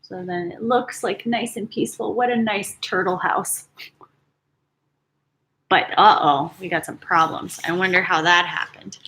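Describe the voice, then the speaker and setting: A woman teacher reading picture books aloud to children live, animated and dramatic, with casual chatty asides. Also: lowish voice, measured pace, a little hiss or hum, rough recording. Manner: animated, dramatic storytelling mixed with casual commentary.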